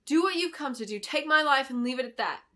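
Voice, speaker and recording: A woman's voice: high voice, animated, quick pace, sharp tone, pitched above the performer's own voice. A woman voicing a resolute noblewoman who pleads urgently and defiantly in a fantasy story reading.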